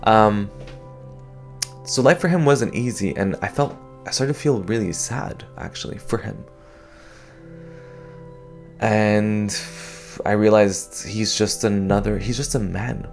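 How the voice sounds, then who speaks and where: A man's voice: lowish voice, takes it slowly, gravelly, pauses often, soft background music. A young man from Canada speaking in a thoughtful, hesitant way, with many fillers and restarts, as he reflects on personal experience. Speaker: podcast host.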